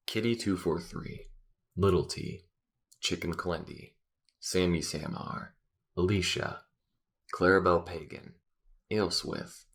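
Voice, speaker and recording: Deep, creaky-voiced man reading credits. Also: fairly bright tone. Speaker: voice actor and channel creator. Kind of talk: scripted outro, reading out credits.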